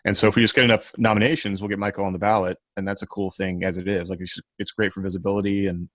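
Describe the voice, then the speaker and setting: A man's voice: low-pitched, flat delivery, racing, a bit bright, no echo. A man speaking as a co-host in a casual podcast chat. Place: video call group podcast.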